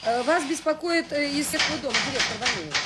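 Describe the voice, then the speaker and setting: An actress playing a warm, determined director of a children's home in a radio drama, brisk and plain-spoken with hesitant pauses. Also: female voice, high-pitched, lightly acted. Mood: insistent, indignant. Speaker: orphanage director. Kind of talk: naturalistic radio drama acting. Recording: noisy old radio play recording.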